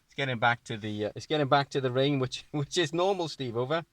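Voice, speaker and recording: A man's voice: medium pitch, animated, quick pace, a bit bright, dry recording. A man with a British accent chatting casually as a radio operator, repeating phrases for clarity. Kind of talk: casual amateur radio chat. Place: inside a parked or mobile car.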